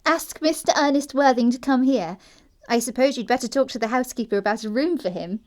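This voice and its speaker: A woman's voice: high voice, fairly quick, close to an everyday voice. A woman playing a prim, naive yet pert young upper-class English girl in an audio stage comedy, speaking with artless precision. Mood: curious, excited.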